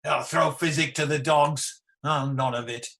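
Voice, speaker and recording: Guy doing an old embattled tyrant king, shakespeare radio play, theatrical, defiant, takes his time, slightly high male voice. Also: steady tone, creaky, fairly bright tone. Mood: agitated, commanding. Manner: declamatory, slow, theatrical. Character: ageing embattled Scottish tyrant king, defiant, scornful, world-weary.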